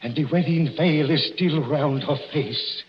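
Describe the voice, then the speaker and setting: A man's voice: fairly high pitch, measured pace, lightly acted. An actor playing a superstitious, fearful old Italian boatman, with an Italian accent, broken English and insistent warnings, in 1940s radio style. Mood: hushed, eerie. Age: older. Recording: muffled noisy 1940s radio recording.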